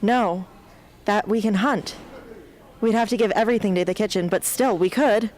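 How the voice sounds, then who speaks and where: A woman's voice: medium pitch, moderate pace, darkish tone, close to an everyday voice, low-quality recording. A woman playing a troubled, determined teenage girl rebel in an amateur radio drama. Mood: casual.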